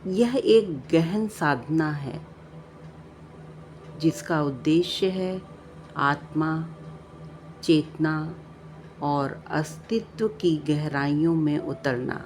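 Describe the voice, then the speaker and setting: Slow, low-voiced woman guiding meditation. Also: a little hiss or hum. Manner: calm, measured instruction with long pauses. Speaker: meditation guide. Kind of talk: guided meditation narration. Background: background music.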